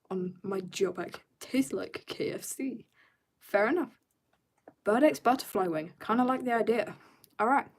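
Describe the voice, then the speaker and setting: A teenage girl from South Africa chatting casually to her viewers while she draws, rambling and joking at herself, sometimes dropping to quiet mutters. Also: medium pitch, slight background noise. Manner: chatty, rambling, self-mocking asides. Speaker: art streamer. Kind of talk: casual chat while drawing.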